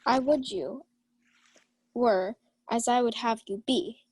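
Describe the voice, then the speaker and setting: A woman's voice: fairly high pitch, slow, a little mellow, slight background noise. A woman playing a proud, lovestruck young lady of the house in a Shakespeare radio play, formal and declamatory. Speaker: student actor.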